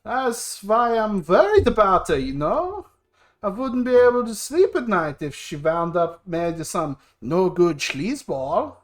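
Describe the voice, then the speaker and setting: A man voicing a brash, protective, hard-drinking big brother in a game, loud and argumentative. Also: sits high, very animated, measured pace, voice pushed up. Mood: doting, worried.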